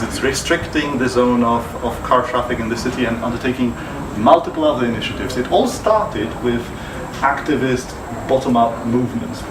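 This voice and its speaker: A man hosting a live interview in an office, introducing guests and asking questions. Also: mid-range voice. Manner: chatty, leading questions. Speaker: host. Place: office contact centre. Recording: roomy, distant mic, background hum.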